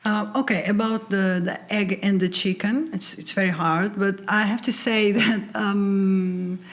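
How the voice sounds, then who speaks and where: A woman working as an immigration consultant, explaining things calmly and reassuringly with a few fillers. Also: mid-range voice, a little slow. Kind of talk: video call interview.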